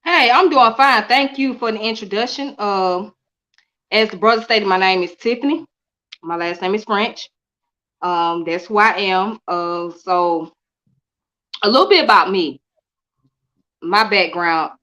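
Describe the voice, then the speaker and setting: A woman raised in Atlanta, a guest telling her story in a relaxed, repetitive, colloquial way. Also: medium pitch, measured pace, pauses often, dull audio. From Midwest-born, raised in Atlanta. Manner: repeats herself, relaxed, colloquial. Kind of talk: casual interview storytelling.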